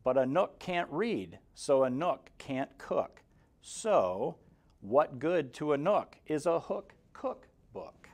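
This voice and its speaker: A man in his forties reading a rhyming children's picture book aloud, expressively, in a measured sing-song with clear emphasis. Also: a little high, big pitch swings, darkish tone. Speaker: guest story reader for kindergarteners. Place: indoor room, seated in front of a banner. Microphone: lapel mic.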